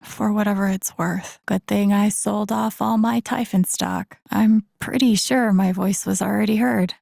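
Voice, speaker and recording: A woman playing a wry, wounded, introspective young game heroine, speaking soft-spoken inner monologue in a naturalistic, breathy, slightly creaky voice. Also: mid-range voice, dry recording, studio quality.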